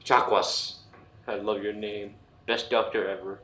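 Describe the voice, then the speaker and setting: A man's voice: fairly low pitch, level tone, measured pace, pushed and bright. A man giving crude, irreverent joking commentary over a game, with mock-dramatic outbursts. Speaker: let's play host. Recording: roomy, faint background noise.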